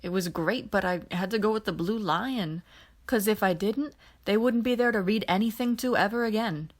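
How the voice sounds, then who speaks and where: A woman's voice: medium pitch, normal speed, close to an everyday voice. A woman voicing a groggy young male space hero with a dramatic streak, in an audiobook. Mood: groggy, earnest.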